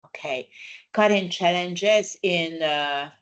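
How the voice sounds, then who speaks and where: A woman's voice: lowish voice, smooth, muffled recording. Older Hungarian-accented woman presenting. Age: 60s. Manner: unhurried, frequent "uh" fillers, non-native grammar. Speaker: migration lawyer presenting a webinar. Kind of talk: webinar presentation.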